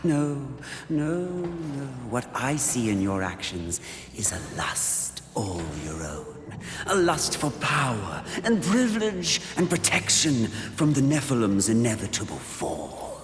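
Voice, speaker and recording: A suave, mocking demon of temptation for a video game. The delivery is slow and theatrical, with huge swings of pitch. It rings with echoey reverb. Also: male voice, sing-song. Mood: taunting, probing. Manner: silky, theatrical, drawn-out taunting delivery. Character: Lust, suave seductive taunting demonic sin. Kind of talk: video game cutscene acting. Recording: echoey hall reverb, faint noise.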